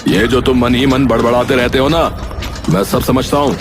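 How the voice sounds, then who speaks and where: A man's voice: medium pitch, steady tone, moderate pace, natural voice. A man voicing a foolish, impatient cartoon king with a pompous, scolding, commanding manner. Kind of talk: cartoon voice acting. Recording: music behind the voice.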